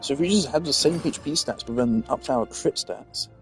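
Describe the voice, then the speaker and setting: A man's voice: medium pitch, moderate pace, faint music behind. A man giving casual, rambling gaming commentary, thinking aloud as a streamer. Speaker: game streamer.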